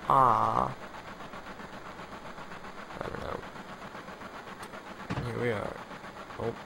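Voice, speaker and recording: A man's voice: medium pitch, little pitch movement, drawn-out words, gravelly, noisy background. A young man giving casual, rambling gameplay commentary with jokey mock bravado. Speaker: let's play commentator. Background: video game music.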